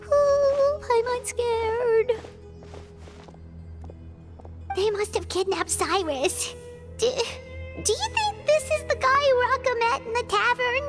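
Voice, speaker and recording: Super high squeaky little game fairy, excitable, scares easy, really bouncy pitch. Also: female voice, takes it slowly, loud music behind the voice, heavily acted. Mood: scared. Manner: refers to itself in third person, exclaims. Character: small childlike floating fairy companion, excitable and easily scared. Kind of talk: video game voice acting.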